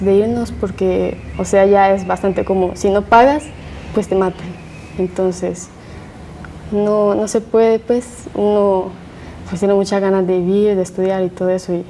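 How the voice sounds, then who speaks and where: A woman speaks slowly and hesitantly in a warm, low-key tone that barely rises or falls. A faint ambient hum sits behind her. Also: rough recording. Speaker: migrant interviewee. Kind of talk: testimony.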